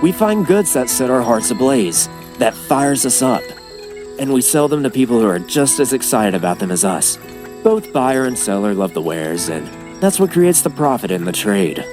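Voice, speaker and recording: A man's voice: mid-range voice, sing-song, moderate pace, close to an everyday voice, low-quality recording. A man playing a confident, roguish chief of traveling merchants in an anime dub, teasing and persuasive like a showman. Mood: passionate. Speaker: voice actor in an anime fan dub. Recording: music behind the voice. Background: music.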